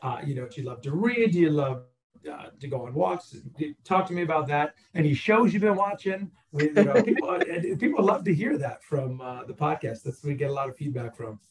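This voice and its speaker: Male podcast host, somewhat high pitch, relaxed interviewer, hesitant with fillers. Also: fairly quick, reverberant. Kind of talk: casual interview chat.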